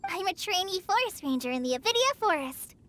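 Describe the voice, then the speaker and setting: A woman's voice: very high-pitched, sing-song, brisk, heavy background noise. A woman voicing an anxious, self-doubting teenage girl ranger trainee in a video game, with a hesitant, earnest manner.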